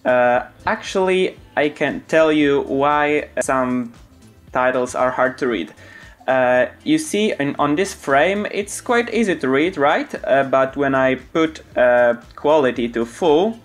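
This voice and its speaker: A man's voice: medium pitch, lively intonation, faint music behind. Young Polish-accented man, earnest narration. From Poland. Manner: earnest, slightly halting second-language delivery with frequent fillers. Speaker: animator and channel creator. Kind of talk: casual making-of narration.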